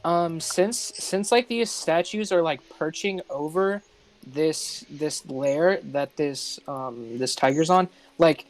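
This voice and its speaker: Higher-pitched man, halting and inquisitive. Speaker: tabletop game player. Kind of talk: casual chat.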